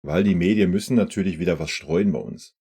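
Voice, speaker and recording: Deep-voiced man chatting casually. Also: fairly quick, mellow, husky. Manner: chatty, uses fillers like "ja" and "ne". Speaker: fan channel host reacting to a press conference. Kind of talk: casual reaction commentary.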